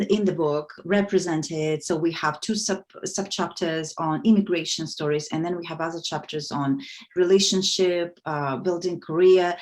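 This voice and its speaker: A woman answers interview questions in a fairly low voice. She is earnest and enthusiastic, and her answers carry a lot of fillers. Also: darkish tone. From Kazakhstan. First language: Russian. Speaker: guest, organization founder.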